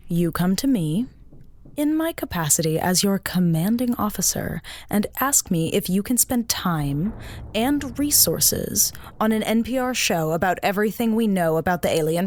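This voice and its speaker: A woman playing a suspicious, stern spaceship commanding officer in an audio drama, with a deadpan, sardonic delivery. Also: medium pitch, close to an everyday voice.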